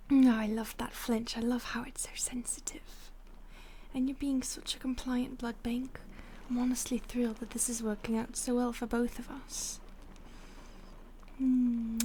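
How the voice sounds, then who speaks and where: A woman voicing a possessive female vampire stalker in an audio roleplay, whispering breathily with a slow, taunting, condescending manner. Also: on the high side, a little mellow, a little hiss or hum. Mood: hungry.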